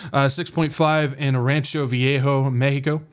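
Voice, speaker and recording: An American man hosting a livestream podcast in a loose, rambling way, full of filler words and quick self-corrections. His voice comes through a little nasal and stuffed up from sinus drainage, sitting at an ordinary middle pitch. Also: level tone, clean tone. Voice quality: slightly nasal. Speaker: podcast host. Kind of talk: casual livestream talk.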